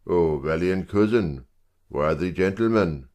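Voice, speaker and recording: A man playing a stately, commanding Scottish king in measured style for a Shakespeare audiobook drama. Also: deep voice, animated, a little mellow. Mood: regal, commanding.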